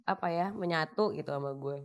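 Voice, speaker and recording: A woman's voice: sits low, brisk. A young woman guest on a comedy show, talking candidly and a bit sassily about her housemates. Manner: candid, chatty, a little sassy. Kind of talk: casual chat on a comedy show.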